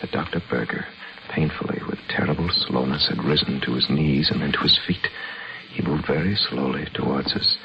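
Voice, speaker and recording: An actor playing a grim, determined chess master, delivered with earnest intensity in old-time radio drama style. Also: male voice, extremely low pitch, moderate pace, gravelly. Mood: grave, narrating. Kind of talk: old-time radio drama acting and first-person narration. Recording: muffled old radio recording.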